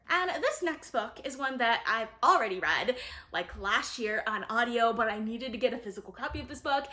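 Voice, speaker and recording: A woman's voice: on the high side, pushed and bright. A woman chatting casually to viewers like a vlogger, enthusiastic and gushing, with lots of emphatic repetition. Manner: enthusiastic, gushing, frequent "really" and "like". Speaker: book reviewer and vlogger. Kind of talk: casual chatty book haul talk to viewers.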